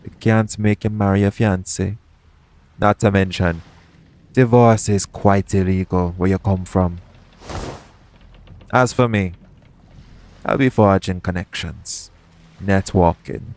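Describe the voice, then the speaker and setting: A man's voice: on the low side, fairly even intonation, slow, long pauses, a little mellow, slight background noise. A man playing a shady, persuasive pirate captain in an audio roleplay, bargaining straight to the listener in roguish, ungrammatical speech. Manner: coaxing, bargaining, roguish dialect grammar. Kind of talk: audio roleplay acting, addressing the listener directly.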